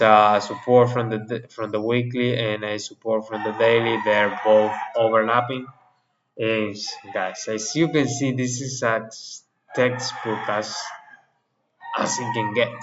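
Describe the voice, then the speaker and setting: A man's voice: mid-range voice, fairly bright tone. A man whose first language is Spanish, speaking English in a casual trade review for his channel, often repeating words and restarting phrases. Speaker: trading channel host. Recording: muffled audio with faint background noise.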